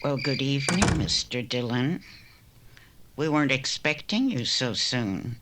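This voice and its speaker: An actress playing a bitter, defiant outlaw's widow in a western radio drama, cool and mocking. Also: female voice, a bass voice, expressive, a little slow, fairly bright tone, slight background noise, heavily acted.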